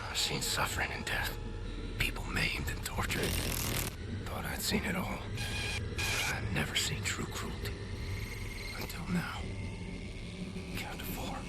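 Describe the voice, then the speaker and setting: An actor playing a brooding, haunted American soldier in a video game, narrating his thoughts in terse fragments and a breathy half-whisper. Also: a little slow, long pauses, lightly acted. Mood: grim, haunted. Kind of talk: dramatic inner monologue in a video game. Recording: loud music over the voice.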